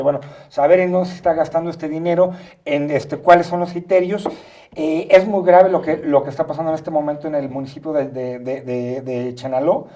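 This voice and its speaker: A Mexican man co-hosting a studio discussion, speaking haltingly and often checking for agreement at the ends of phrases. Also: fairly high pitch, warm tone. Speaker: talk show co-host and free-speech advocate. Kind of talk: studio discussion, spontaneous commentary. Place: internet TV studio.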